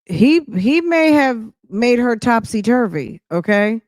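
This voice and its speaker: A woman's voice: on the high side, very animated, slow pace, darkish tone, no echo, clean studio sound. A woman podcast host giving casual, gossipy reaction commentary in a slangy, sassy way, speaking straight to her listeners.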